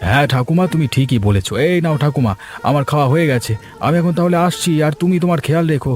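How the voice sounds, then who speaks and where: A man's voice: fairly high pitch, fast talker, a little mellow, soft background music, close to an everyday voice. Man voicing a dutiful, obliging young grandson. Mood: reassuring. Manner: reassuring, obliging. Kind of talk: Bengali moral-story cartoon dubbing.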